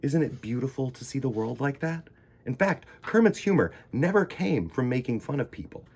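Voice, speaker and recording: A man reading a children's picture book aloud in the first person, theatrical, sometimes dropping into hushed emphasis. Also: medium pitch, very animated, normal speed, breathy edge. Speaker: children's storytime reader.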